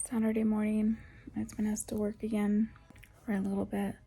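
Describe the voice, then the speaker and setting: A young adult woman vlogging in a soft, whispery voice, rambling casually with lots of ums, recorded through headphones used as a mic. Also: mid-range voice, monotone, brisk, gravelly, soft background music. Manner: rambling, with many ums and restarts. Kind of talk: casual chatty vlog talk. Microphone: headphones used as a microphone.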